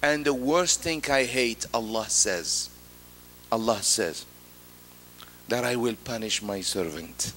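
A middle-aged man giving a Friday sermon as imam, emphatic, rising on key words and dropping low after, quoting Arabic quickly. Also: fairly bright tone, a little hiss or hum. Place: large mosque prayer hall. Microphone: stand mic. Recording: long reverb of a large hall.

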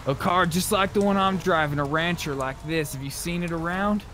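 A man's voice: a little high, normal speed, fairly bright tone, slight background noise. A man chatting casually in character during an online roleplay game. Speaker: roleplay gamer.

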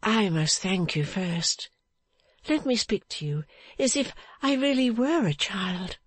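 A woman voicing a gentle, small, childlike young woman from a classic novel, meek yet brave, earnest and polite. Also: medium pitch, expressive, slow pace, breathy edge, dry recording, pitched above the performer's own voice. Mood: tender, earnest. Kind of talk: dramatized audiobook reading of a classic novel.

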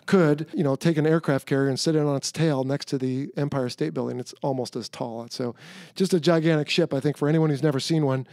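A man's voice: on the high side, steady tone, a little fast, darkish tone, dry recording, clean studio sound. A middle-aged man hosting a podcast, a retired Navy pilot, chatting in a friendly, upbeat way with his guest. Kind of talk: casual interview hosting.

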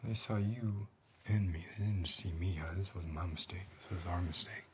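Middle-aged man, acted menacing backwoods tough, deep, hushed terse muttering, ASMR drama, noisy recording. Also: normal speed. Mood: terse, defensive. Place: indoor room, seated at a table. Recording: close-up mic with clear background noise.

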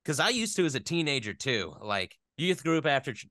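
A man's voice: a little high, very animated, fairly quick, sharp tone, no room sound, clean studio sound. A man, a voice actor, chatting casually as an interview guest, telling stories in a joking, self-deprecating way.

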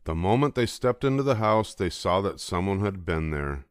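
A man's voice: sits low, big pitch swings, moderate pace, smooth, no room sound, studio quality. An older man reading a children's storybook aloud as scripted narration. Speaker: storybook reader.

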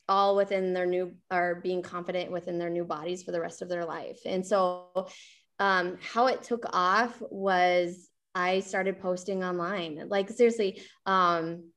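A woman's voice: on the low side, fairly bright tone. A woman in her thirties chatting casually and enthusiastically as an interview guest and fitness coach on a video call. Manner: enthusiastic, conversational. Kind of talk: casual chat.

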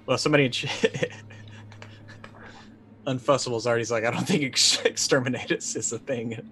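A man in his forties playing a tabletop roleplaying game, casually narrating his character's actions and thinking aloud haltingly. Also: mid-range voice, fairly bright tone, slight background noise. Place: indoor room, online video call.

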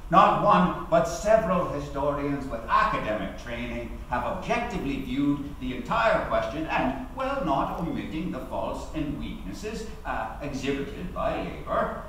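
A middle-aged actor playing a proud, eloquent male labour activist recalling his trial, speaking in formal, deliberate theatrical oratory with emphatic pauses. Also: a little high, very animated, pushed and bright, exaggerated voice. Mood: earnest, declamatory. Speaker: actor giving a dramatic reading. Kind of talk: theatrical courtroom-style oratory at a lectern. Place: large auditorium, at a lectern. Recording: very echoey hall, distant mic, faint noise.

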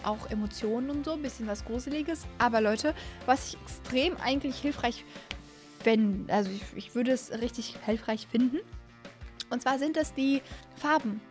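High-pitched young woman, casual gaming chat. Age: young adult. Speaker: gaming video host. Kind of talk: casual chat, game commentary. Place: small indoor room at home. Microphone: microphone on a boom arm close to the mouth.

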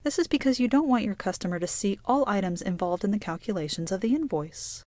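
A woman reading scripted instructional narration for a software tutorial in a measured, explanatory way. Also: mid-range voice, lively intonation, no room sound.

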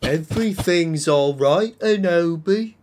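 A man's voice: a little high, slow, darkish tone, slight background noise, pitched above the performer's own voice. A man voicing a melodramatic teenage gothic boy with exaggerated, emotional delivery in a comedic fan fiction reading. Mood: worried, tender.

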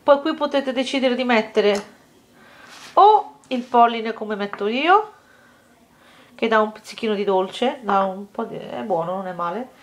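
A woman's voice: fairly high pitch, animated, measured pace. A woman talking viewers through a home cooking recipe step by step in a casual, chatty way. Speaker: cooking vlogger. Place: home kitchen table, indoors.

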